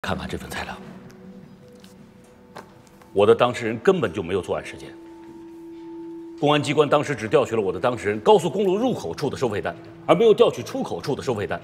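An actor playing a dogged, indignant middle-aged defence lawyer in a naturalistic film drama. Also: male voice, medium pitch, sing-song, fast, long pauses, a little mellow, long reverb tail, soft background music, lightly acted. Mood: grave, insistent.

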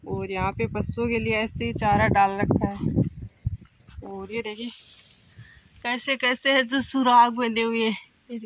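A woman's voice: fairly high pitch, level tone, slow, long pauses, background noise. A young woman filming herself on a phone at arm's length, chatting casually to viewers as she walks through an old empty house. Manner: chatty, leans on filler phrases, talks to viewers as friends. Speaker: vlogger giving a house tour. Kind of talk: casual walking house tour. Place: old empty brick house, indoors and outdoors. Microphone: phone held at arm's length.

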